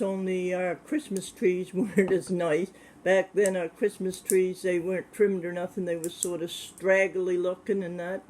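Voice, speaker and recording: Older man in his seventies, mellow voice, plain-spoken, hesitant, pauses and uhs. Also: high voice, a little hiss or hum. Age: 70s. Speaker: interviewee. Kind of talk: casual recollection.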